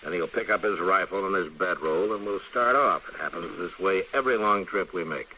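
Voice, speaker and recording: An actor playing a steady, laconic frontier marshal in an old-time radio western, with a dry, understated manner. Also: male voice, deep voice, a little fast. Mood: dryly amused. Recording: old radio recording, distant, hissy.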